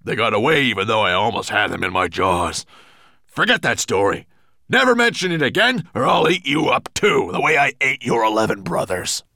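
Huge bullying storybook dragon, growling, harsh forceful tone, raised swooping pitch, furious, menacing. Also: male voice, very animated, normal speed, creaky, no echo, studio quality, exaggerated voice. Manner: roaring, snarling, growling.

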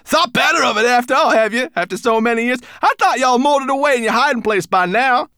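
A man voicing a scornful four-armed male brownie with a high, fast, mocking voice in an audiobook. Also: sharp tone, clean tone, no echo, studio quality, heavily acted. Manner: high cackling sneer, fast.

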